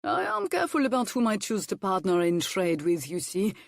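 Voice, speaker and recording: A woman voicing a charming, sly viscount with a mild, smooth manner. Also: medium pitch, big pitch swings, fairly quick, no echo, clean studio sound. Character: charming sly middle-aged French viscount.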